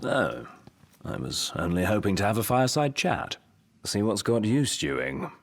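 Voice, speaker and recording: Game role: dutiful royal advisor. British, polite and measured, husky edge, highly animated intonation. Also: male voice, heavily acted. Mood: wry, caring. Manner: formal, measured, politely wry phrasing. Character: refined, dutiful male royal advisor and cook, gently wry. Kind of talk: video game cutscene acting.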